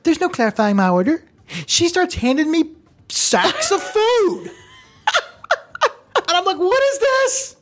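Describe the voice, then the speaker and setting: A woman's voice: extremely high pitch, very animated, a little slow, pauses often. A woman co-hosting a casual chat, telling a comic story with lots of laughter and acted-out quotes. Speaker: co-host.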